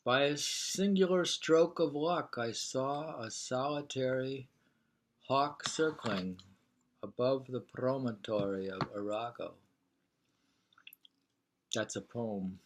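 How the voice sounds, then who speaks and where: A man reading poetry and travel prose aloud informally, in a halting, deliberate way. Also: medium pitch, slight background noise. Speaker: reader of a poetry travel sketch.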